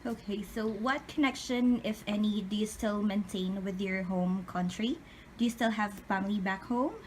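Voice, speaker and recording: A woman with a mid-pitched voice works as an interviewer, reading out prepared questions. The recording carries a faint layer of background noise. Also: steady tone, normal speed, low-quality recording.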